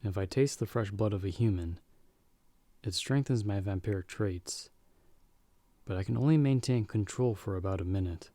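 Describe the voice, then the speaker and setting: A man playing a calm, world-weary half-vampire hunter in an audio roleplay, speaking in a measured, understated way as a protective mentor to a companion. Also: lowish voice, dry recording. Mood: reflective. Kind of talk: ASMR audio roleplay acting.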